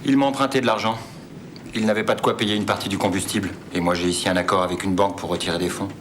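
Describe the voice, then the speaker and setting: A man's voice: low-pitched, steady tone, quick pace, lightly acted. An actor playing a blunt, dryly mocking crewman in a film drama, with noise behind. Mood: wry. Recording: clear background noise, clicks.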